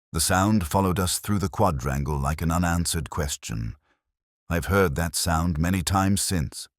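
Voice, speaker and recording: Really deep male voice reading a detective story, solemn and measured but lots of up and down, dry sound. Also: husky, no echo. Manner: measured, literary, solemn delivery. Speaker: narrator. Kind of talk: scripted first-person detective mystery narration.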